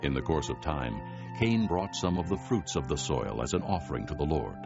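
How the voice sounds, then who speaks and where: An extremely deep male voice narrates scripture with solemn deliberation and wide, expressive intonation. The recording is slightly dull, with loud music underneath. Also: brisk, vocal fry. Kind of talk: dramatized audiobook scripture narration. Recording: dull band-limited recording.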